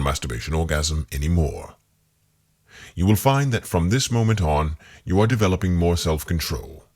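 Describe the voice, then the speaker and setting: A man guiding a hypnotic meditation, reading scripted suggestions in a calming, deliberate manner. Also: deep voice. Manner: slow-phrased, calming, deliberate, repetitive suggestion. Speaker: hypnosis guide.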